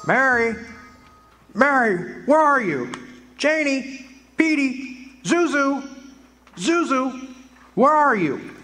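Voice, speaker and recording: A man's voice: very high-pitched, very animated, slow, pauses often, sharp tone, faint music behind, full character voice. A man playing a bewildered, exasperated family man, stammering and agitated in a live radio drama. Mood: desperate. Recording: live stage recording, faint room noise.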